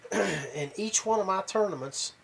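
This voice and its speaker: A man hosting a podcast, talking casually and haltingly to his viewers, rambling along with pauses and repeats. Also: sits high, lively intonation, vocal fry, a bit bright, slightly rough. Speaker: podcast host. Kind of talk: casual rambling talk to viewers about tournament fishing.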